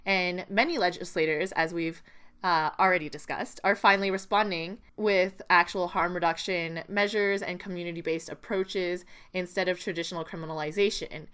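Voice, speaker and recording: A woman's voice: fairly low pitch, steady tone, normal speed, a bit bright, smooth. A woman co-hosting a podcast, giving casual news commentary in a conversational way with plenty of "like". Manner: conversational, peppered with "like". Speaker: podcast co-host.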